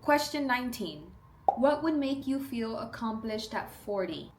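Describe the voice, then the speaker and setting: A woman's voice: a little high, unhurried, very noisy. A woman of twenty-two with a South Texas accent, chatting casually and animatedly while doing her makeup at home. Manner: chatty, upbeat, animated asides and playful quoted voices. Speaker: beauty vlogger. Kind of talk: casual get-ready-with-me chat.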